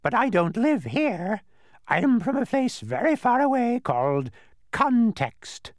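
Storybook villain-pest: a filthy old bird, high shrieking, wildly swooping, haughty and gleefully pedantic. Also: male voice, very animated, slow, no echo, clean studio sound, full character voice. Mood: scornful.

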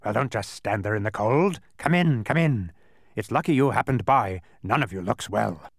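A man voicing a hoarse, croaking, eccentric male doctor who fires odd questions. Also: animated, normal speed, no room sound, clean studio sound. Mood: raspy, quizzing. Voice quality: raspy, croaking. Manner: booming, insistent questions.

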